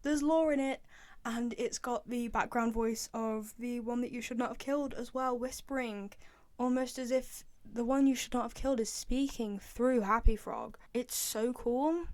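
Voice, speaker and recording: Video host: young woman, fairly high voice, dry recording, informal and opinionated fan commentary. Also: fairly even intonation, normal speed, a little mellow, dry recording. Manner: chatty, emphatic, repeats phrases for emphasis.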